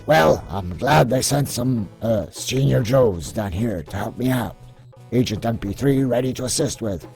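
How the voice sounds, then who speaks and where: Man acting a creaky, animated field agent. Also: medium pitch, measured pace, faint music behind. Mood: politely dismissive. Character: eager, wry male toy-soldier field agent. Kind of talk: amateur comic dub, cartoon acting.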